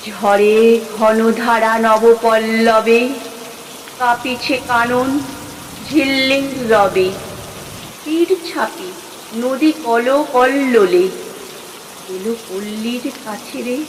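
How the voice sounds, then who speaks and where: A woman recites poetry in a highish voice at a very slow, measured pace. Her phrasing is dramatic and drawn out, with deliberate pauses. Long hall-like reverb trails her words. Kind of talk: expressive Bengali poem recitation. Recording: long hall reverb, clear background noise.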